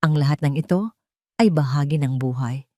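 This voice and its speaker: A woman voicing a gentle, forgiving young heroine in an earnest way for a romance audio story. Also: sits low, normal speed, dry recording, studio quality, natural voice. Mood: reassuring.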